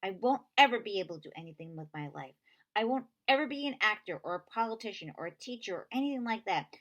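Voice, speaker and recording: A woman's voice: medium pitch, lively intonation, fast talker, a bit bright, dull audio, pitched above the performer's own voice, lightly acted. A woman reading a children's novel aloud, voicing an upset, bitter boy who speaks in exasperated, insistent outbursts.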